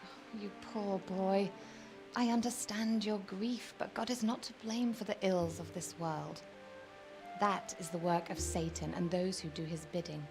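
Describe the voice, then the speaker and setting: A gentle, devout young noblewoman, played by a woman in a medieval game cutscene. Her voice has a clear creak and a measured, sympathetic delivery, with music playing behind it. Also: mid-range voice, fairly even intonation, close to an everyday voice. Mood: compassionate, then devout counsel. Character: gentle, pious medieval noblewoman married to an older lord. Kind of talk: dramatic game cutscene acting.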